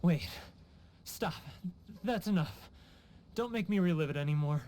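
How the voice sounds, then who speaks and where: A man's voice: fairly high pitch, animated, unhurried, mellow, a little hiss or hum. An actor playing a homesick, guilt-ridden male merchant in a video game, theatrical and sighing, self-pitying in manner. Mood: anguished. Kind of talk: video game character acting.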